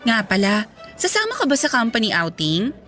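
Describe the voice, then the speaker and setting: A woman's voice: a little high, wildly swooping pitch, moderate pace, fairly bright tone, faint music behind. An actress playing a chatty, teasing woman in her twenties in a radio drama. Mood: curious, teasing. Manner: chatty, teasing, casual conversational. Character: playful teasing young female office mate, age twenty-three. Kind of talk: Tagalog radio drama dialogue.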